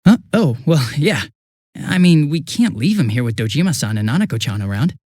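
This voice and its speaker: A man voicing a young police detective in a video game, affable and easily flustered, with a casual, hesitant and excitable way of talking. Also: fairly high pitch, brisk, no room sound, studio quality. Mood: puzzled, casual. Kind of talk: English video game voice acting.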